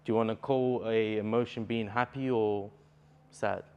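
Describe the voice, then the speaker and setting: A man's voice: fairly low pitch, steady tone, moderate pace, clean tone, muffled recording. A young man from Bulgaria, a native Bulgarian speaker, talking earnestly as a poet guest in a casual interview.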